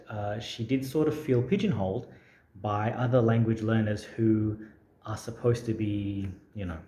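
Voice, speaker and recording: A man's voice: lowish voice, mellow, muffled recording, a little hiss or hum. A man talking casually and unscripted to camera, thoughtful and rambling, with frequent hesitations. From Australia. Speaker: language-learning vlogger. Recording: roomy echo.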